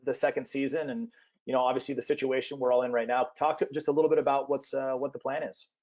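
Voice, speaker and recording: A man's voice: mid-range voice, little pitch movement, fast talker, a little mellow. A man casually moderating a live question-and-answer panel as its host. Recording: muffled lo-fi online call.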